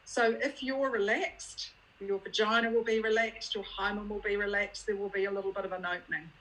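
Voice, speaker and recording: A woman's voice: medium pitch, fairly even intonation, fairly quick, fairly bright tone, low-quality recording. A woman with a New Zealand accent, originally from Scotland, giving an educational talk as a clinician, plain-spoken and checking that listeners follow. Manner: explanatory, plain-spoken, uses props and checks understanding. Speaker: guest speaker, child abuse unit clinician.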